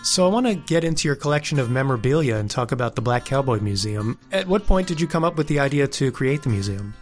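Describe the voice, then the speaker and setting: Calm male podcast host. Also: medium pitch, quick pace, faint music behind. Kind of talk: interviewer narration.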